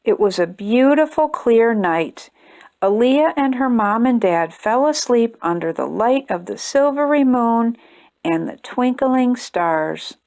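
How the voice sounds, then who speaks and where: A woman hosting a storytime channel, reading a children's picture book aloud in a gentle, expressive way. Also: fairly high pitch, slow pace. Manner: gentle, expressive reading, brighter and chattier when addressing viewers. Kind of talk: read-aloud storytelling for kids.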